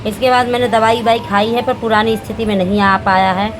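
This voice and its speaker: A woman in her thirties, a family vlogger talking casually and homely at home, her voice a little hoarse. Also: fairly high pitch, level tone, fairly quick, noisy background. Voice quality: chatty, homely, rambling with fillers. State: slightly hoarse. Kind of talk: casual home vlog chat.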